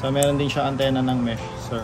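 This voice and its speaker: A man's voice: mid-range voice, flat delivery, fast. A Filipino man working as shop staff, politely explaining a product step by step in an informal demonstration. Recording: music playing behind the voice. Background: music.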